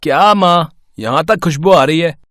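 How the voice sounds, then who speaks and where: Man playing a cheeky, higher-voiced young son. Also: moderate pace, fairly bright tone, no room sound, clean studio sound, lightly acted. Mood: playful, protesting. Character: cheeky, good-humoured young adult son, college student.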